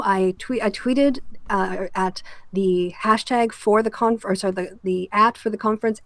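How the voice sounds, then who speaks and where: A woman's voice: mid-range voice, no echo. Emphatic woman in dry close sound. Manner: earnest, emphatic, argumentative. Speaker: host of an online political discussion show. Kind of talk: opinionated panel discussion.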